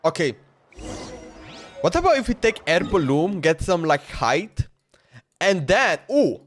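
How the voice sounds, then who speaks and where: Higher-voiced man casually streaming a game. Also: very animated, slow pace. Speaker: live streamer. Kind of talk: casual gameplay commentary. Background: faint game music.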